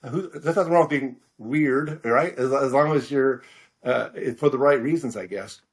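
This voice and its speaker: A man's voice: mid-range voice, normal speed. A middle-aged man speaking casually as an interview guest, hesitant and self-deprecating, often repeating words as he searches for the next one. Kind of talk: casual conversational storytelling.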